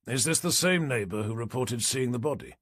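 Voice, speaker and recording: A man's voice: medium pitch, lively intonation, brisk, fairly bright tone, no echo. A man voicing a dry, wry detective inspector asking questions in an audiobook, with a British accent. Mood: businesslike.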